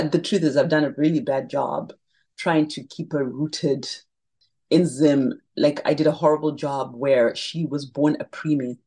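Husky low-voiced woman, animated. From Zimbabwe. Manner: animated storyteller, laughs often. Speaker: guest, makeup brand founder. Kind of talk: casual interview chat.

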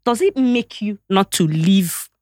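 A woman with a Nigerian accent on a casual podcast debate, animated and questioning, who acts out other people's lines. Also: medium pitch, unhurried, no room sound, studio quality.

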